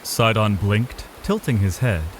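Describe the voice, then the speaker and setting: A man's voice: on the low side, expressive, unhurried, a little hiss or hum. A man narrating a scripted fantasy story in a dramatic style.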